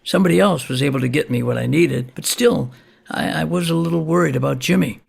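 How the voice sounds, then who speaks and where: A man's voice: fairly high pitch, fast talker, vocal fry, slightly rough, exaggerated voice. An actor playing a blithely frank man of eighty-three in an audio play, telling his story in a folksy, rambling, matter-of-fact way. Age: older.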